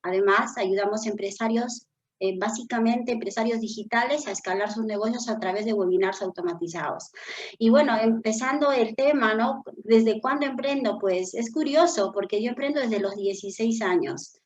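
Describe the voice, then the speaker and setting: A woman's voice: on the high side, normal speed, highs cut off. Peruvian woman telling her story. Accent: Peruvian Spanish. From Peru. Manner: earnest, reflective storytelling with frequent fillers. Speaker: guest, business consultant. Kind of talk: interview conversation.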